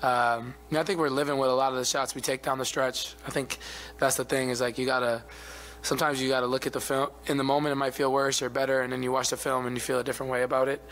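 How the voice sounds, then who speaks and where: Athlete in his twenties, steady low-key answers at a postgame presser, table mic, light hum. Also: fast talker, few pauses, low-quality recording. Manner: soft-spoken, even, many fillers. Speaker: basketball player. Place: arena press room. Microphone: table mic in front of him.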